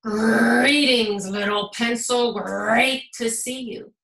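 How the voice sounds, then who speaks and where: A woman's voice: a little high, slow, a bit bright. Woman putting on a growly, sly mechanical wolf, echoey. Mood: falsely welcoming. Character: sinister growly wolf pencil sharpener posing as principal. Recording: roomy echo.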